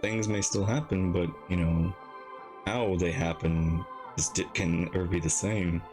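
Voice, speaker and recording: Very deep-voiced man casually reacting. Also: fairly even intonation, a little slow, a little mellow. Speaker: reaction streamer. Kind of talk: casual game reaction commentary. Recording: game music behind the voice.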